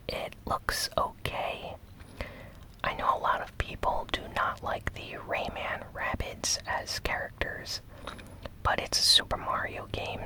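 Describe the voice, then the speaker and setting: A host softly whispering a gaming news recap in a breathy, unhurried ASMR style. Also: rough recording. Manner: slow, soft, unhurried delivery.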